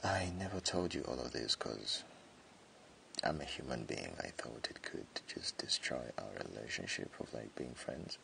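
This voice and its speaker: A man voicing a jealous tsundere friend confessing hidden love, speaking haltingly in an intimate boyfriend ASMR roleplay. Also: expressive, pushed and bright, slight background noise, heavily acted. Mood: earnest, confessing. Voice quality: very deep, gravelly. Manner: hesitant, halting, with stammered repeats.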